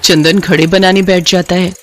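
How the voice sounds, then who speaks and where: A woman's voice: lowish voice, flat delivery, normal speed. A woman narrating a scripted children's moral story.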